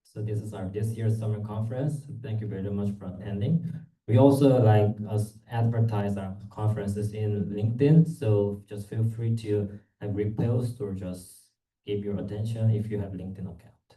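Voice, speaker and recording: Young male student presenter, very mellow tone, informal and halting, picked up from afar in a roomy space. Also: fairly low pitch, fairly even intonation. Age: young adult. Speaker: student club executive presenting at a conference. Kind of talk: conference presentation. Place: indoor conference room. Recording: echoey room, distant microphone.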